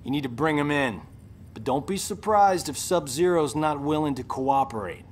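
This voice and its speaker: A man's voice: fairly high pitch, expressive, normal speed, slight background noise, lightly acted. Man acting a cocky veteran action hero, flippant. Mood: wry briefing. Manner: flippant, wry. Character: cocky wisecracking veteran action hero turned team leader. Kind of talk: video game cinematic dialogue.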